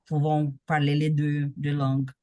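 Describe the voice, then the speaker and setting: A woman from Brazil, a Portuguese speaker, reads and answers in French at a slow, careful pace, often cutting off and restarting words. Her voice is low for a woman and recorded close and dry. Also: little pitch movement, mellow, dry recording. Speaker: participant. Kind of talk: casual language practice chat.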